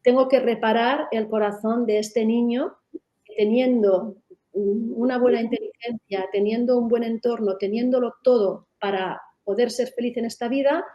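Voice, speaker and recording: A woman's voice: a little high, fairly even intonation, normal speed, muffled recording. Woman explaining in a webinar. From Catalonia. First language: Catalan. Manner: explanatory, with frequent tag questions and restarts. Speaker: method founder presenting in a webinar. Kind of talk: webinar presentation.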